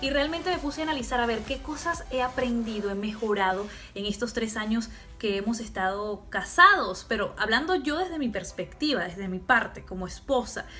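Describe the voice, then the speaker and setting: A young woman vlogging casually to camera, talking in a relaxed, chatty way and laughing now and then. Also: a little high, normal speed, few pauses, soft background music. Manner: chatty, laughs often, mixes in English. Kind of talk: casual vlog talk to camera.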